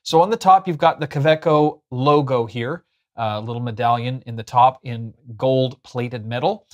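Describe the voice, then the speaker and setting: A man in his thirties hosts a review in a chatty, explanatory way. His voice sits at an ordinary middle pitch. He talks casually to the camera, picked up by a lapel mic in a small furnished room. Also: normal speed. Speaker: host of a pen review show.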